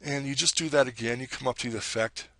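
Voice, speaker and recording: A man with a deep voice giving a screen-recorded software tutorial, explaining each step plainly and now and then correcting himself. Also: steady tone, fast, dry recording.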